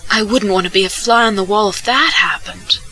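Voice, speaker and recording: A woman's voice: medium pitch, a little fast, pushed and bright, no room sound, poor audio. A woman playing a wry, teasing, bossy witch in an audio drama. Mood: wry amusement.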